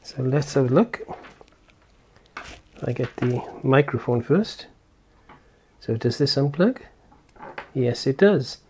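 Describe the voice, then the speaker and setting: A man's voice: medium pitch, animated, slow, long pauses, a little mellow, a little hiss or hum. A man giving casual hands-on product commentary as a reviewer, explaining step by step and thinking aloud informally. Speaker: tech reviewer and presenter.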